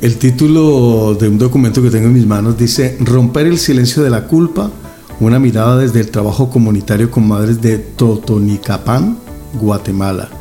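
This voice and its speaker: A man hosts a radio interview in a warm, mellow voice. He talks with enthusiasm, throws in exclamations and pauses on hesitant fillers, while soft music plays faintly underneath. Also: mid-range voice. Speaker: radio host.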